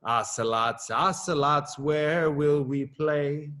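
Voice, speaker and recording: A middle-aged man, an assistant principal, reading his own children's story aloud with lively rises in pitch. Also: takes it slowly. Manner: expressive, lively rises on questions and exclamations.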